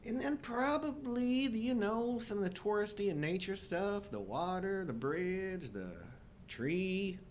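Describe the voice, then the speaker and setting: A man's voice: high-pitched, lively intonation, unhurried, slight background noise. A middle-aged man co-hosting a live show with casual, playful banter and wry joking.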